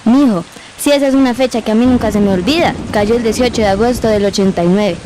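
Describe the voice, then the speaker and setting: A woman's voice: on the high side, fast, close to an everyday voice. Woman acting folksy Colombian grandmother, noisy rainy backdrop. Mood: nostalgic storytelling. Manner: folksy rural storyteller speech. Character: old Colombian grandmother, former housemaid, nostalgic, plainspoken. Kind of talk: amateur radio drama acting.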